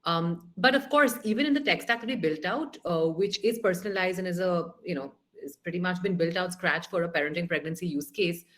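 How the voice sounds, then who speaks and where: Woman founder on a panel, lowish voice, restarts a lot as she thinks. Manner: animated, thinking aloud with repeated restarts. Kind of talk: panel discussion. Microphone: video call.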